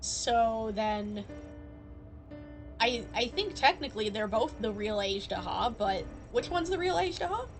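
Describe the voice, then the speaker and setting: A woman's voice: on the high side, lively intonation, unhurried. A woman giving casual live commentary as she streams a video game. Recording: game music behind the voice. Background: video game music and sounds.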